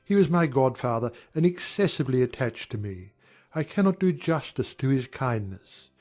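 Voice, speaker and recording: An actor playing an aggrieved young gentleman in a dramatized audiobook, speaking in formal, measured, earnest period language. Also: mid-range voice, expressive, faint music behind, close to an everyday voice. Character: young gentleman airing polite, wounded grievances. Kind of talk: dramatized audiobook character acting.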